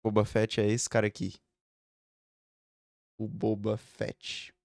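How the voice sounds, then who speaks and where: A man's voice: on the low side, completely flat delivery, slow pace, a little mellow, dry recording, studio quality. A man in his early twenties, chatting casually and rambling with lots of fillers in a home vlog. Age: 20s. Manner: rambling, chatty, frequent fillers and tag questions. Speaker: vlogger. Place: home room.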